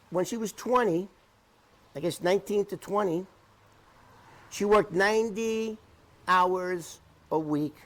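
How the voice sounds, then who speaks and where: Emphatic middle-aged man, higher-pitched voice. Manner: emphatic, repetitive, halting delivery. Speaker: interview commentator debunking someone's claims. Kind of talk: unscripted talk to camera.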